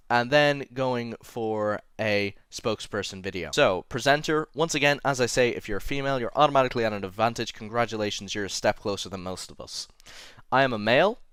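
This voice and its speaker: A young man with a mixed English-Irish accent talks through a how-to tutorial in a loose, chatty way, correcting himself as he goes. His voice is smooth and clear, mid-pitched, recorded dry and close on a desk mic in a small home room. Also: fairly bright tone, no room sound. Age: young adult. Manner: chatty, self-correcting, apologetic asides. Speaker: tutorial host.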